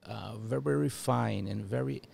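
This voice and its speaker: A man in his forties, originally from Uruguay, talking in a podcast interview with halting restarts and fillers, on a studio mic. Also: mid-range voice, creaky, a little mellow. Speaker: guest, former diplomat. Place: studio office room. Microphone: studio mic with headphones.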